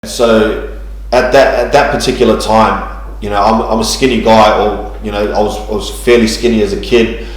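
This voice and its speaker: A man's voice: fairly low pitch, steady tone, moderate pace, heavy reverb, low-quality recording. A man, telling his life story in a church interview, hesitant with many ums and restarts. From New Zealand. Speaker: guest sharing testimony.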